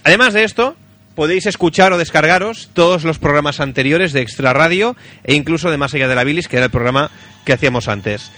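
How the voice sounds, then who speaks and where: A man's voice: on the high side, fast, bright, forceful tone. A man with an accent from Spain hosting a casual radio chat show in a playful, teasing, exclamatory way. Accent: Spanish from Spain. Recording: music behind the voice.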